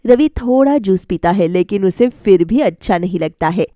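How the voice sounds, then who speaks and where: A woman's voice: medium pitch, lively intonation, normal speed, warm tone, no room sound, clean studio sound. A woman narrating a scripted children's story as a voice-over storyteller. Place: close, dry voice-over recording.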